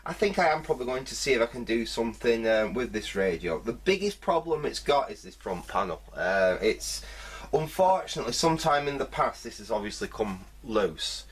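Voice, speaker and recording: A man's voice: mid-range voice, expressive, brisk, hardly any pauses, breathy edge. A man giving a casual hands-on repair commentary, thinking aloud hesitantly in fragments. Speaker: host of a vintage electronics repair video.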